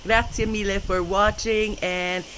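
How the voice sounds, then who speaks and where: A woman's voice: mid-range voice, steady tone, unhurried, background noise. A woman hosting a travel show, interviewing people in a friendly, upbeat way. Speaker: show host.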